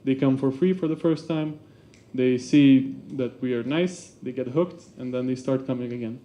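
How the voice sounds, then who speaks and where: Man, dark halting talk. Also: mid-range voice. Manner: halting, many fillers and restarts. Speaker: basketball club representative presenting. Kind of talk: seminar presentation.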